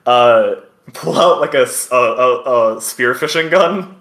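A man running a tabletop game as game master, improvising narration in a halting, hesitant way. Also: mid-range voice, a bit bright, echoey room.

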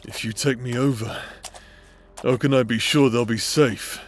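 Slow, solemn cursed warrior, acted by a man. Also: mid-range voice, steady tone, soft background music, natural voice. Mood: wry, defiant. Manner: solemn, wry, deliberate. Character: cursed young male warrior sworn to resist a demon. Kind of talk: fantasy audio roleplay acting.

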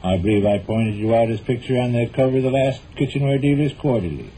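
An actor playing a stubborn, long-suffering husband with dry, pompous formality in a 1940s radio comedy. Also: male voice, mid-range voice, quick pace, dark tone, natural voice. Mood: dignified. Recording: muffled, noisy old 1940s radio broadcast.

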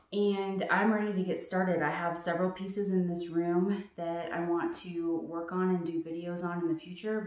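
Chatty woman vlogging in an echoey room. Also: fairly low pitch. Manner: chatty, relaxed, frequent ums. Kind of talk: casual home cleaning vlog. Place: small bedroom studio, indoors. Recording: roomy echo, faint background noise.

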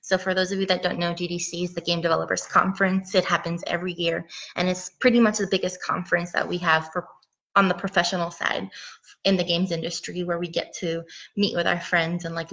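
A woman's voice: lowish voice, a bit bright, rough recording. A woman in her thirties from Puerto Rico, giving a chatty talk as a game designer over a headset mic from a room at home. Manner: chatty, rambling with ums. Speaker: guest speaker, game designer. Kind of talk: casual presentation talk. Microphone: headset mic on video call.

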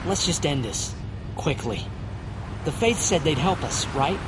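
Lively, brash young hero. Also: male voice, on the high side, unhurried, background noise. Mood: impatient. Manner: casual, youthful, plain-spoken. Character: brash, determined, optimistic young male hero. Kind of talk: video game voice acting. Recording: game audio with loud music.

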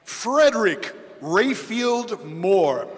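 A man reads names out one by one in a high, lively voice, taking his time over each. His voice carries a long echo through a big arena hall from the podium microphone. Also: a little hiss or hum. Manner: slow, clear announcing of names. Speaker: name reader at graduation. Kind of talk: reading out graduate names. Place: large indoor arena. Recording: long reverb of a large arena.

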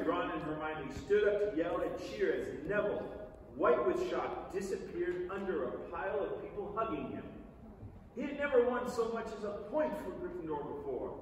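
A middle-aged man giving a dramatic staged reading of a children's novel, with emphatic delivery and long pauses. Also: high voice. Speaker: stage reader. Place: large theatre stage, indoors. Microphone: far camera in the audience. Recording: echoey theatre hall, distant mic, noisy.

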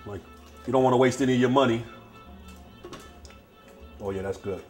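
Relaxed man cooking in a home kitchen. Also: mid-range voice, moderate pace, soft background music. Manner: relaxed, chatty, talks while cooking. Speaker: cooking show host. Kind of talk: casual cooking demonstration.

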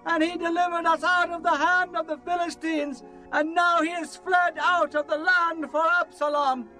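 Guy doing an excited herald of the people, piercing high voice, bible drama. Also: normal speed, faint music behind. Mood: proclaiming. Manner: proclaiming.

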